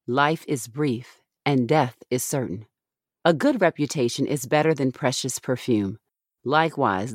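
A woman reads scripture with a solemn, deliberate delivery. Each phrase is set out in a measured way for an audio Bible podcast, and the sound is very dry, with little room around it. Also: extremely low pitch, no room sound.

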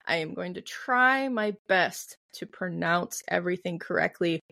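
A woman's voice: on the low side, a little slow, creaky, sharp tone, no room sound. A woman with a Midwestern American accent, co-hosting a podcast with casual, comedic storytelling in a giggly, playful manner. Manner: giggly, playful, acts out quotes. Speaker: podcast co-host.

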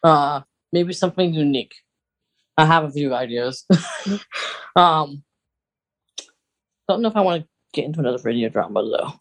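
American podcast cohost just chatting, kinda rambly, middle of the road pitch, informal. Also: animated, normal speed, pauses often, low-quality recording. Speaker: podcast co-host. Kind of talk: casual podcast chat.

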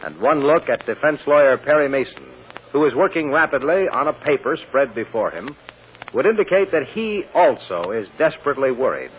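A man's voice: medium pitch, sing-song, brisk, close to an everyday voice. An American man acting as a grave, dramatic narrator of a courtroom story in an old-time radio drama. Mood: grave, suspenseful. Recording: tinny, noisy old radio recording.

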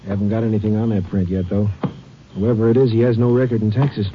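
Actor for practical patrolman; lowish, hissy lo-fi radio. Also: steady tone, fast, lightly acted. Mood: businesslike. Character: practical male highway patrolman. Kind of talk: 1940s radio acting. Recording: dull old radio recording with hiss.

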